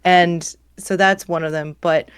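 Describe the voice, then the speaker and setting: A hoarse woman getting over a cold, chatting on a video call with rambling asides and quick laughs. Her voice sits low and smooth, and the sound is close and dry with no room around it. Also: fairly even intonation, dry recording. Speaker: cosplayer guest. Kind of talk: casual chat on a video call.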